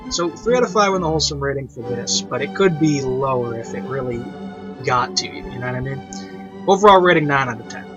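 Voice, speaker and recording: Bright, forceful man rambling through a review. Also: medium pitch. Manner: rambling, repeats himself for emphasis. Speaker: review show host, online reviewer. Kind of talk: casual unscripted review commentary. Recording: dull lo-fi mic, music behind. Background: orchestral and piano classical music.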